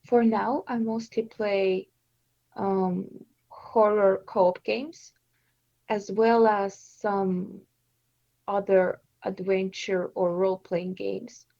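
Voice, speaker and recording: Young woman from Estonia, gravelly voice. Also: medium pitch, steady tone, very slow, no room sound, lo-fi sound. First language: Russian. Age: 20s. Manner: hesitant, with frequent fillers and restarts. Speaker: interview guest, streamer. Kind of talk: casual interview answers.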